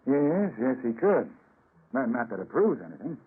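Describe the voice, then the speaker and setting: Animated police commissioner, muffled old radio. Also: male voice, mid-range voice, moderate pace, husky. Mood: puzzled, musing. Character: musing, puzzled male police commissioner. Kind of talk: old-time radio drama acting. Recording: muffled, slightly noisy vintage radio broadcast.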